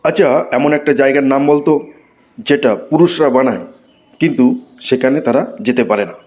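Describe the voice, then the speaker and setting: A man voicing an excitable, exasperated schoolteacher who scolds and exclaims in a comic cartoon. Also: medium pitch, a little fast, close to an everyday voice. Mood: quizzing. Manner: scolding, exclamatory, mock-praising. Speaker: cartoon voice actor. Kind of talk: comic Bengali cartoon dialogue.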